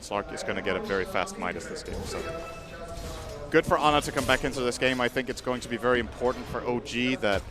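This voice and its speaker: A man giving measured, analytical color commentary on an esports match. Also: medium pitch, over music. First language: English. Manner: measured, explanatory.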